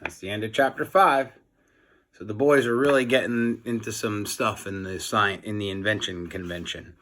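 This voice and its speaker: A man's voice: fairly low pitch, steady tone, moderate pace, fairly bright tone. A man narrating a children's storybook aloud, as a teacher reading for young listeners.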